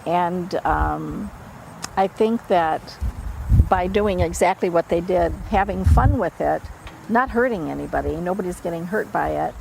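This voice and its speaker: A woman being interviewed, giving her thoughts in a deliberate, reflective way. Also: on the low side, gravelly, background noise. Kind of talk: interview, personal account.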